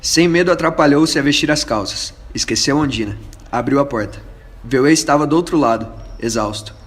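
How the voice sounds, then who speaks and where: A man's voice: mid-range voice, normal speed. Male radio drama narrator, echoey room. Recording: echoey room with faint noise.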